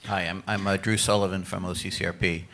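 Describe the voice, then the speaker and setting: A man asking a panel a reflective question from the audience, slightly halting at the start. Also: deep voice, level tone, background noise.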